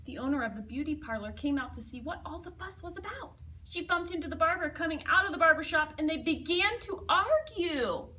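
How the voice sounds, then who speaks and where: Bright, very high-voiced woman reading a storybook. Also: a little fast, few pauses, recorded from a distance, poor audio. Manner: expressive, with dramatic emphasis and hushed asides. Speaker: storybook reader. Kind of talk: animated read-aloud of a children's picture book with asides to young listeners.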